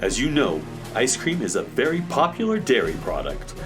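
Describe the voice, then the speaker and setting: A man's voice: mid-range voice, sing-song, normal speed, over music, close to an everyday voice. An actor playing a lofty, all-knowing cosmic handyman in a children's radio drama, speaking formally and pompously. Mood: stern.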